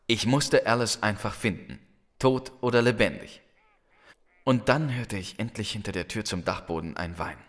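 An actor playing a devoted young lover in a radio play, recounting an ordeal with earnest urgency. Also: male voice, lowish voice, normal speed, lightly acted. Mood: relieved, breathless. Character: desperate, devoted young male lover telling his ordeal. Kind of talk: German audio drama acting.

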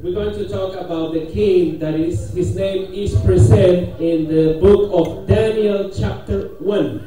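A middle-aged man with a Spanish accent preaching in halting, simple English, pausing for an interpreter and putting questions to the congregation. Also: sits high, fairly even intonation, mellow. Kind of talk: interactive sermon with pauses for an interpreter. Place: church hall with congregation, handheld microphone through speakers. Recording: echoey room, distant camera pickup, congregation noise.

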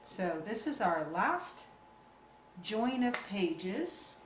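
A woman's voice: mid-range voice, very animated, drawn-out words. A woman giving casual, reassuring step-by-step instruction as the host of a live craft tutorial. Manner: reassuring, encouraging. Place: indoor work table. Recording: roomy echo, clear background noise.

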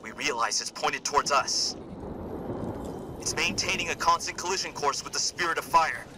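An actor playing an earnest military comms officer in a sci-fi game, briefing in a businesslike manner. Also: male voice, a little high, normal speed, noisy background, natural voice. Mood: serious. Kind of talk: video game military acting.

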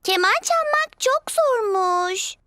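Very high, childlike little girl pig. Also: expressive, takes it slowly, noisy background, heavily acted. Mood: eager. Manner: childlike, simple and eager. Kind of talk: children's cartoon dubbing. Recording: loud music under the voice.

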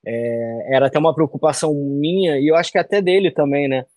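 Relaxed man on a video call. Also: on the high side, quick pace, dry recording. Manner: relaxed, loose, many fillers and tag questions. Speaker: fighter interviewee. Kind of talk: casual interview on a video call. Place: small room indoors.

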